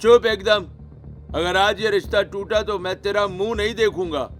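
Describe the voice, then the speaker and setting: A man's voice: high-pitched, moderate pace, fairly bright tone, soft background music. A man playing a stern middle-aged father in a cartoon story, with a commanding manner. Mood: angry. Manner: scolding, commanding. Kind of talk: Hindi cartoon story acting.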